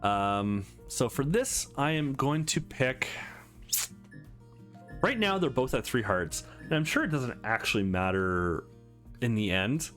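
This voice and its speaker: A man's voice: mid-range voice, big pitch swings, slow, fairly bright tone. A man hosting a visual novel playthrough, reading the story aloud and adding casual, chatty commentary, with music behind him. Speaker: gaming channel host.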